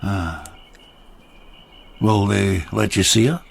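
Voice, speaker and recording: An actor playing a rueful rural labourer of old Japan in a radio drama, a wry storyteller with a gravelly, husky voice. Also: male voice, lowish voice, takes it slowly, slight background noise, full character voice. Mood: curious, wry.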